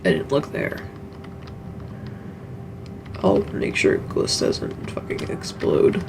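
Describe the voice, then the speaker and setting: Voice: slow, background noise. A streamer muttering through a game in casual commentary, with the odd curse. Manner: mutters to self, casual swearing.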